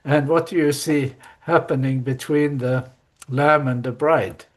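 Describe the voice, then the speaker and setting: A man giving a class presentation over a video call, relaxed, with fillers and "you see" asides. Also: medium pitch, a little slow. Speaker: lecturer.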